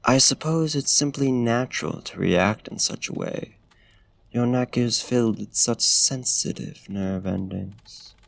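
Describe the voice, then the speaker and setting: A man playing a courtly, bookish, flirtatious vampire in an intimate ASMR roleplay, speaking with formal, genteel phrasing. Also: measured pace. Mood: teasing, courteous. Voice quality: smooth, deep. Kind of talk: ASMR roleplay, intimate talk and poetry reading.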